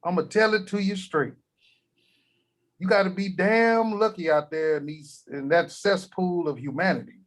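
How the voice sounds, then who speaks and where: A man's voice: high-pitched, normal speed, close to an everyday voice. A man playing a foul-mouthed old grandpa. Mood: lecturing. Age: older. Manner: profane, blustery, shouting when riled. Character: crude, grumpy old grandfather patriarch. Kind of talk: table read.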